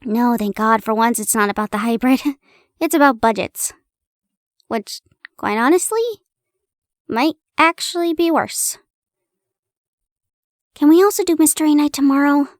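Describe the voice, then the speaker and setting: A woman's voice: sits high, unhurried, pauses often, no echo, studio quality. A young woman in an intimate audio roleplay, chatting playfully to the listener after reading aloud. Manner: playful, giggly, pleading.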